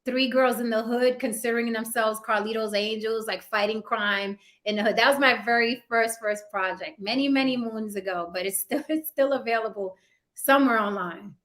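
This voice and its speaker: A woman's voice: fairly high pitch, moderate pace, fairly bright tone. A woman actress being interviewed, talking casually and animatedly, often repeating words for emphasis and asking "right?". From Puerto Rican heritage. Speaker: actress and YouTuber guest.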